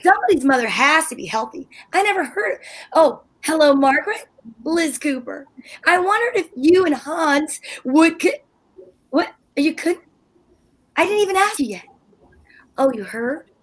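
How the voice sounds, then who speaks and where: Scheming sitcom housewife played by a woman, high and tart, radio-comedy delivery. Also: lively intonation, normal speed, long pauses. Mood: exasperated. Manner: tart, sarcastic, flustered. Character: scheming indignant housewife Liz. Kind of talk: amateur old-time radio acting.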